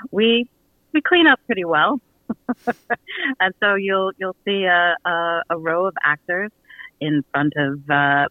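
A man speaking as a guest in a casual radio interview about his creative work, with hesitant, halting phrasing. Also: fairly high pitch, no room sound, narrow, tinny audio. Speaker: audio theater producer and guest.

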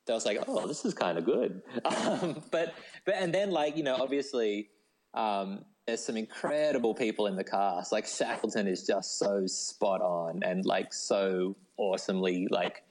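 A man's voice: on the low side, normal speed, darkish tone, a little hiss or hum. A man chats as a guest in an interview. He is informal and enthusiastic, wandering through his thoughts and peppering them with "like". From Australia. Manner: rambling, enthusiastic, informal with frequent "like". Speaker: novelist being interviewed as a guest. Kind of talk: casual interview chat.

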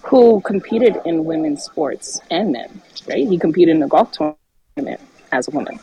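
A woman's voice: fairly low pitch, lively intonation, normal speed, creaky, dark tone, breathy edge, no echo, rough recording. A woman in casual podcast chat, emphatic and punchy in her points. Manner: emphatic, punchy one-liners.

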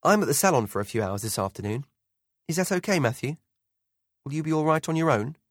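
A talkative middle-aged mother, put on by a male audiobook narrator, fires off friendly but probing questions at her son. The voice sits well above a man's usual range and sounds bright and eager. It is recorded close and dry, with no room around it. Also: a little high, lively intonation, fast talker, dry recording, voice pushed up. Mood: chatty, probing.

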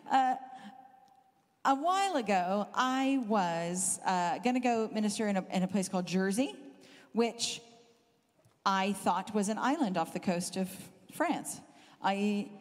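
A middle-aged woman with a Southern Californian accent softened by years in the UK, preaching as a guest speaker and telling a funny story about herself. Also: mid-range voice. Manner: animated, self-deprecating, laughs often. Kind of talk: humorous storytelling sermon. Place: church hall. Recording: hall reverb from a live church talk.

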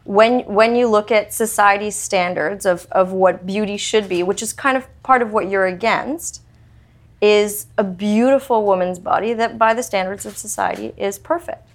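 A woman hosting an interview, asking measured, probing questions. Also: mid-range voice. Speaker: host.

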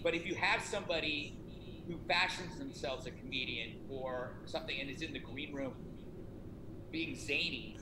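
Middle-aged male author, rambling self-deprecating talker, somewhat high voice, echoey noisy room. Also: moderate pace. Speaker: author promoting a self-help book. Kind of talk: casual conversation. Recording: echoey room with noticeable noise.

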